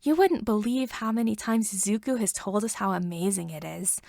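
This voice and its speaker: A woman voicing a kind, gently reassuring mother in a story reading. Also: medium pitch, normal speed, vocal fry, no echo, clean studio sound. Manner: kindly.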